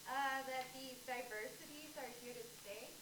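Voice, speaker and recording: A woman's voice: high voice, normal speed, natural voice. A woman in a staged play reading, playing a curious presenter who prompts and sums up. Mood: inquisitive. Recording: echoey room with clear noise.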